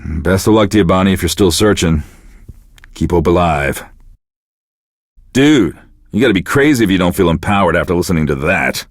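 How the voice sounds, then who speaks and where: Casting: sour, deadpan American divorced DJ, middle-aged, low register with lively swings, close dry studio sound. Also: male voice, normal speed, pauses often, no room sound. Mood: self-pitying. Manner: deadpan, sardonic, profane. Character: bitter, self-pitying divorced middle-aged male radio DJ. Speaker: radio DJ. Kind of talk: casual radio DJ patter.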